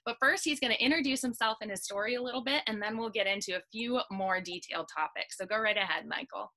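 A woman's voice: a little high, fast talker, bright, forceful tone. A young woman hosting an interview over a video call, enthusiastic and encouraging. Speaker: host. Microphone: webcam or laptop mic on video call.

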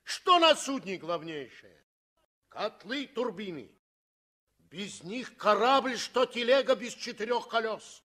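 An actor playing a zealous, hot-tempered navy sailor, excitable and emphatic, in a 1960s radio play. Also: male voice, high-pitched, slow pace, heavily acted. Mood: insistent. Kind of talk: 1960s Soviet radio drama acting.

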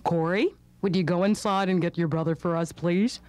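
A woman voicing a calm, kindly father giving gentle instruction in a children's storybook. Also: on the low side, normal speed, natural voice. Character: calm, kindly adult father of a young boy.

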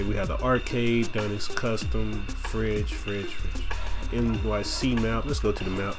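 A man with a cold giving loose, joking gameplay commentary, swearing now and then. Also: fairly low pitch. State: has a cold, sniffly. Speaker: let's play gamer. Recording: voice over game audio with music.

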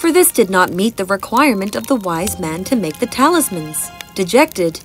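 A woman's voice: medium pitch, very animated, moderate pace. A woman reading a scripted fairy tale as a storyteller. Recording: music bed behind the narration.

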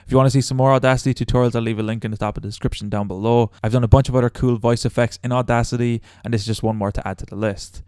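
A man's voice: mid-range voice, fairly even intonation, racing, hardly any pauses, darkish tone, smooth, no room sound, clean studio sound. A young man hosting a casual step-by-step tutorial as a voice-over. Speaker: tutorial host.

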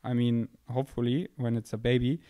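A man's voice: medium pitch, steady tone, fairly quick, dark tone, no echo. Young guy rambling on his podcast, jokey and self-mocking, close handheld mic, dry room sound. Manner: playful, self-mocking, thinks aloud. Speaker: podcast host. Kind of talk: casual rambling monologue. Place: indoor room, seated on a couch. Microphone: handheld microphone held close.

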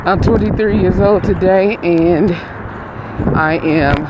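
A man's voice: high-pitched, takes it slowly, dull audio, very noisy. A man in his twenties vlogging casually while walking outdoors on a street, talking through an earbud mic and rambling with frequent restarts. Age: 20s. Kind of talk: casual vlog. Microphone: earbud headset mic.

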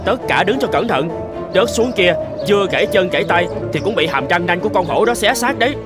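A man's voice: sits high, expressive, fairly quick, over loud music. A man voicing a wary, bossy bird in a cartoon, speaking in a cautioning manner. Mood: alarmed, warning. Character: wary, bossy male bird warning its companions. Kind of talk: animated cartoon dialogue.

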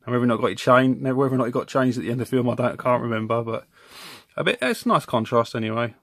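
A man's voice: medium pitch, racing, vocal fry, fairly bright tone, no echo, studio quality. Chatty man reviewing a toy off camera. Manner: rambling, self-correcting, chatty. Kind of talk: casual unboxing review. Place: home room with toy shelves.

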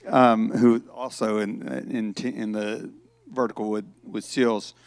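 A man's voice: lowish voice, flat delivery, measured pace, a little mellow, no echo. A man interviewing a guest on a conference stage, asking questions with a few restarts, speaking into a handheld mic. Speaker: interviewer, event co-founder.

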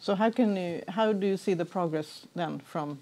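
A woman's voice: fairly low pitch, normal speed, dark tone, slight background noise. A woman in her forties interviewing a guest in a studio, asking short questions and giving frequent attentive affirmations. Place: TV studio set. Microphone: lapel mic.

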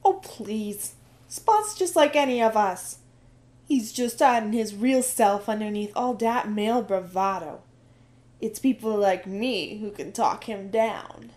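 A woman's voice: mid-range voice, slow, a little mellow, natural voice. A woman acting a sassy young woman disguised as a newsboy, teasing the boys.

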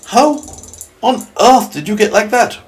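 An excitable young boy from a children's story acted by a man. The voice is pushed up high, eager and exclamatory, with animated swings. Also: normal speed. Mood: amazed.

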